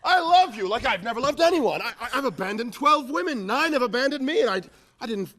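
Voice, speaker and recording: A man's voice: high voice, very animated, moderate pace. A man playing a stammering lovesick landowner. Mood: smitten. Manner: stammering, impassioned, swings between shouting and murmuring. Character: lovesick blustering male landowner, impulsive and excitable. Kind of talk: stage play performance.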